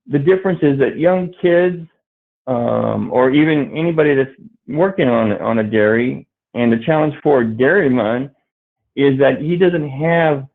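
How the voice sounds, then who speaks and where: Hesitant man on a tinny call. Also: mid-range voice. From Brazil. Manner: halting, many fillers and restarts. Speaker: dairy farmer panelist. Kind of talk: livestream panel talk. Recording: tinny phone-like video call audio.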